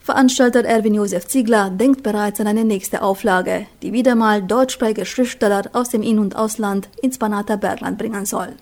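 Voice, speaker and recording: A woman narrating a scripted radio news report in an even, measured read. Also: mid-range voice.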